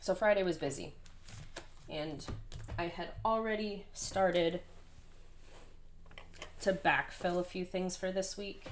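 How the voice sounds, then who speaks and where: A woman in her thirties with a hoarse voice, chatting casually and rambling while she plans. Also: fairly low pitch, steady tone, slow, pauses often, a little hiss or hum. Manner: chatty, rambling, self-deprecating. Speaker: planner vlogger. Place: at home, indoors.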